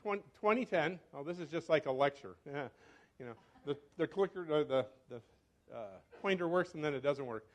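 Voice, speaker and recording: An older man, a scientist, giving a casual talk at a club meeting, often correcting himself and pausing. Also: on the high side, lively intonation. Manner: chatty, self-correcting, fumbles with clicker. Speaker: guest speaker, scientist. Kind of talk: informal lecture. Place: meeting hall. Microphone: handheld or podium microphone.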